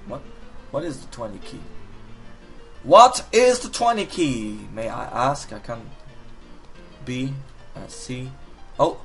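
A man's voice: medium pitch, slow pace, long pauses, soft background music. A man casually commenting while he plays a puzzle game, reading the hints aloud and joking as he goes. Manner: animated, reads on-screen hints aloud, jokey outbursts. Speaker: gaming video host. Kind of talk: casual let's-play commentary.

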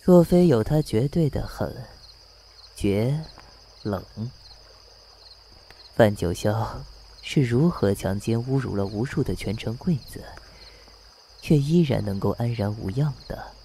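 A man playing a cunning, sardonic prince in a Chinese costume audio drama, speaking in a measured, mocking, theatrical way. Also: mid-range voice, lively intonation, vocal fry, dark tone, a little hiss or hum.

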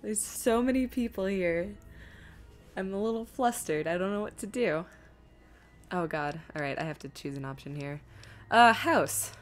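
A woman giving casual live game stream commentary, chatty and thinking out loud as she reads things aloud. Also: mid-range voice, expressive, moderate pace.

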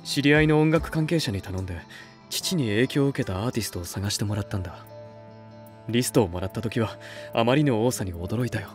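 A male actor playing an earnest, soft-spoken young record label head in an anime voice drama, speaking in a measured way. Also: on the low side, soft background music, close to an everyday voice. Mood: reflective.

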